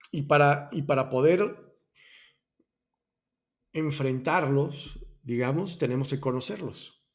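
A man hosting a personal growth talk, explaining Buddhist ideas in a thoughtful way, often restarting and correcting himself. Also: medium pitch. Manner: thoughtful, self-correcting, many restarts and asides. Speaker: host of a personal growth podcast.

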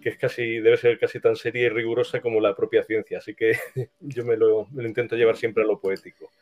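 A man with a Castilian Spanish accent giving thoughtful, halting answers as an interview guest, with frequent pauses. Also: mid-range voice, flat delivery, a little mellow, muffled recording.

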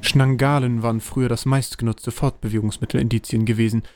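A man's voice: medium pitch, little pitch movement, fairly quick, darkish tone. A man narrating a scripted fantasy audio drama as a storyteller.

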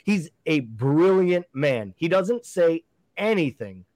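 A man's voice: fairly high pitch, sing-song, takes it slowly, darkish tone, no room sound. A man co-hosting a casual sports chat, arguing his points emphatically and firing off rhetorical questions. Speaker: podcast co-host.

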